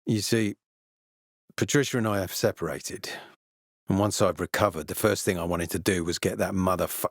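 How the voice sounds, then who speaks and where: A man playing a gruff, weary military officer in a video game, speaking with measured authority. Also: low voice, no room sound, studio quality. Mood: weary, regretful. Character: gruff, weary military officer, commanding, later a regretful father. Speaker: game character voice actor.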